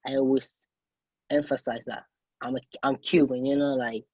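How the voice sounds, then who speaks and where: A man's voice: mid-range voice, little pitch movement, normal speed, a little mellow, slightly rough, no echo, muffled recording. A man from Cuba answering as a student panelist, casual and rambling, with many fillers. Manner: loose, rambling, many "you know" fillers.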